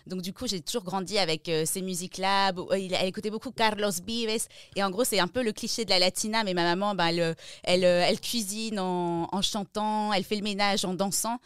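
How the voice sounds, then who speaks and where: Female podcast guest from France. Clean, smooth voice, conversational personal storytelling, close dry sound. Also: mid-range voice, steady tone, a little fast, hardly any pauses, no room sound.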